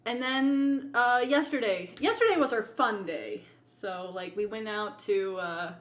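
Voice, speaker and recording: A woman's voice: mid-range voice, unhurried. A woman co-hosting a casual podcast, telling stories in a playful, teasing way, peppered with "like". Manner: playful, teasing, often says "like". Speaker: podcast co-host. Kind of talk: casual chat, animated storytelling.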